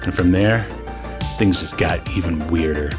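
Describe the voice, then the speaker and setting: Swaggering male sci-fi hero, voiced by an actor: deep, dramatic comic-book promo narration over prominent music. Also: normal speed, lightly acted. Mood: dramatic, wry. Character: swaggering male sci-fi adventurer recounting dinosaur encounters.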